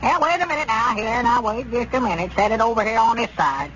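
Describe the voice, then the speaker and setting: Fussy old codger, really high voice, suspicious, querulous, hissy old radio show. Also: male voice, lively intonation, brisk, bright, forceful tone, exaggerated voice. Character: suspicious, cantankerous little old country storekeeper man. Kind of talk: 1930s-40s radio comedy acting. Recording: muffled, noisy old radio recording.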